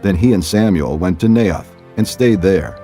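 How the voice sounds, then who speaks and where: A man narrating scripture from a script in a measured storytelling manner. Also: low voice, mellow, faint music behind.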